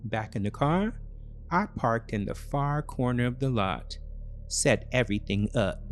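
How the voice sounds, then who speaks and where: Male narrator, psychological thriller audiobook; very slow, deliberate short phrases, mid-range pitch, music bed. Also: lively intonation. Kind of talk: scripted first-person thriller narration.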